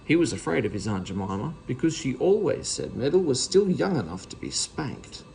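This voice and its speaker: A man's voice: medium pitch, big pitch swings, normal speed, vocal fry, a little mellow, slight background noise, low-quality recording. A man reading a children's storybook aloud as narrator. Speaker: story reader.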